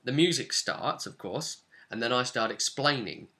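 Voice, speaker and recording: Male game master vlogger, mid-pitched and sharply bright, animated casual storyteller. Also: moderate pace, vocal fry. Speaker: game master recapping sessions. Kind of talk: casual vlog recounting a tabletop role-playing game.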